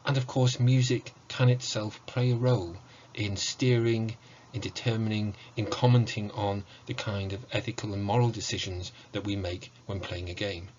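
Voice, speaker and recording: A man in his forties giving an academic lecture in a measured, formal, scholarly way, recorded at home on a computer mic. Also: on the low side, fairly even intonation, darkish tone, dull audio, a little hiss or hum. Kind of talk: academic lecture on video game music. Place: book-lined room at home, indoors.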